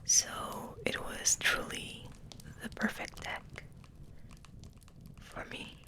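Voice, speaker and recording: Woman whispering asmr, really slow n halting, repeats words softly, close on the mic. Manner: unhurried, halting, gentle repetition of words. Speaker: host of an ASMR channel. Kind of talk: soft whispered ASMR ramble. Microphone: close microphone resting on the table.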